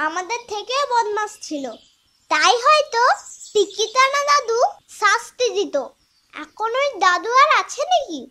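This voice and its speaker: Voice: very high voice, sharp tone, full character voice. A performer voicing a bossy little village sister in a cartoon, nagging and teasing. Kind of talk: Bengali cartoon acting.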